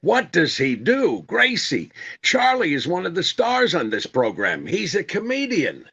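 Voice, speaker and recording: Actor as a bright, animated male radio comedy star. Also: high-pitched, a little slow, gravelly, slightly rough, full character voice. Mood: exasperated. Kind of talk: 1940s radio comedy acting. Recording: slightly dull, band-limited sound.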